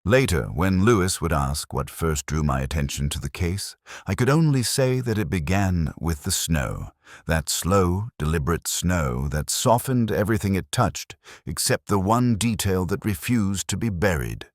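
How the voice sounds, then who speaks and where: Very low male voice, actor as melancholy detective narrator, measured and literary, pedantic, expressive rise and fall, audio mystery drama. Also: no echo, clean studio sound. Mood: brooding, uneasy. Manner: measured, literary, brooding delivery. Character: melancholy, pedantic male police inspector recounting an old case. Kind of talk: scripted first-person mystery narration.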